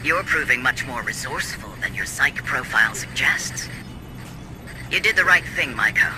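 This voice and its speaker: An actor playing a polite but condescending corporate director in a sci-fi video game, speaking formally. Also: male voice, mid-range voice, expressive, normal speed, over music, natural voice, low-quality recording. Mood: smug, grave. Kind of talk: cinematic video game acting.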